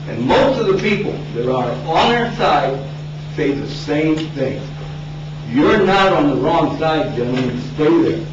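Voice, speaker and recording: A man's voice: a little high, animated, a little slow. A man, an army veteran, giving an earnest prepared statement at a news conference. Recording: echoey, distant, dull, noisy.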